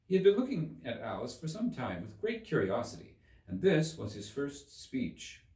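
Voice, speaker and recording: A man reading a children's storybook aloud as a narrator, in an expressive scripted style. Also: medium pitch, normal speed. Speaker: audiobook narrator. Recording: roomy, slightly distant microphone.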